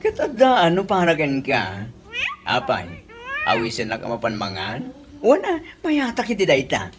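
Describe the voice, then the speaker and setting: A woman's voice: sits low, wildly swooping pitch, normal speed. A woman playing an eager, gushing female friend in a radio comedy, with an Ilocano accent. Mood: curious. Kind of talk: comedy radio drama acting.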